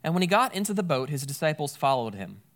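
A man's voice: a little high, big pitch swings, quick pace, fairly bright tone. Man preaching with a drawl. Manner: conversational, explains Greek words with a folksy aside. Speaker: pastor. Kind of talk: sermon with scripture reading.

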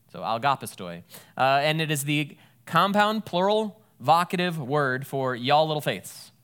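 A man's voice: on the high side, a bit bright. A man, a pastor giving a conversational sermon and reading scripture aloud. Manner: conversational, explains Greek words with a folksy aside.

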